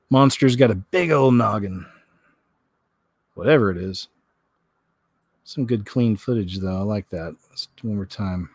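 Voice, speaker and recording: Guy doing a chatty vlog commentary, folksy and kinda rambly, average pitch, dry sound. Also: darkish tone, dry recording. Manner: folksy, rambling, repeats himself. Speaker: host of a news commentary vlog. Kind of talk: casual chatty commentary on clips and read-out news.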